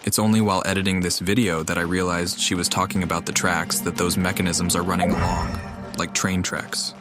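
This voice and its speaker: A man giving casual, low-key, matter-of-fact gameplay commentary as the host of a gaming video. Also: level tone, brisk. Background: game music.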